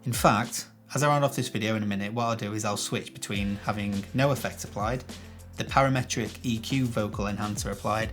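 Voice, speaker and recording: A man with a bassy voice, explaining a video-editing tutorial to camera in a chatty, self-deprecating way, recorded on a shotgun mic in a small room. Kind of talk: casual tutorial talk to camera. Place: small room with some echo. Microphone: shotgun microphone.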